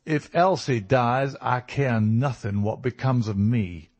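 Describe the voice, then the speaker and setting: A man voicing a fierce, lovesick and desperate American criminal in a storybook reading, forceful and emotional. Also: medium pitch, lively intonation, takes it slowly, voice pushed up.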